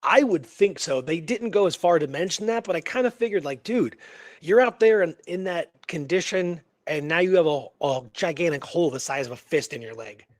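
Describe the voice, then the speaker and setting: A man's voice: a little high, expressive, a little fast, no echo, clean studio sound. A man hosting a radio show, retelling a historical case in casual, slangy talk with emphatic repeated phrases.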